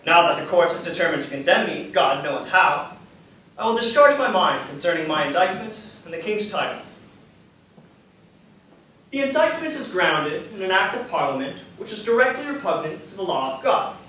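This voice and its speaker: A man on stage playing a devout, condemned statesman who speaks in measured, formal oratory with dignity and defiance. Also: high voice, big pitch swings, pushed and bright, full character voice. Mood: solemn, resolute. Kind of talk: stage play acting. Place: theatre stage, indoors. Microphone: far camera in audience. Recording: echoey theatre, distant mic, faint noise.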